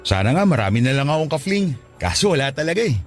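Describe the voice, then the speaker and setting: A man's voice: a little high, sing-song, quick pace, faint music behind. An actor playing a friendly, easygoing young male co-worker with a Filipino accent in a radio drama. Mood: friendly, joking.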